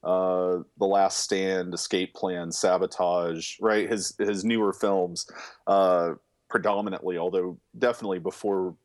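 Casting: man, lowish voice, relaxed profane podcast film talk. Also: unhurried. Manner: loose and profane, lots of fillers. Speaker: podcast co-host, film reviewer. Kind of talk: casual chat.

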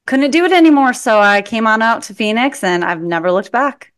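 A woman's voice: on the high side, expressive, a little fast, smooth. A young adult woman from the Chicago area, chatting casually as a podcast guest and often saying "like". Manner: chatty, lots of "like". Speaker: guest, social media manager. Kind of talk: casual interview chat.

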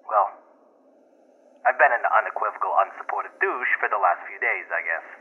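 Husky, rambling, remorseful boyfriend on voicemail. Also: male voice, fairly low pitch, animated. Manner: halting, rambling, sighing. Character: flailing, remorseful young boyfriend, rambling and earnest. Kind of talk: found-footage audio drama acting. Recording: muffled, lo-fi phone voicemail.

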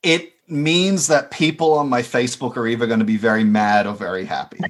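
A man's voice: mid-range voice, brisk. A man with an Australian accent, a podcast guest chatting casually and laughing now and then. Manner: relaxed, chatty, laughs often. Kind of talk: casual chat.

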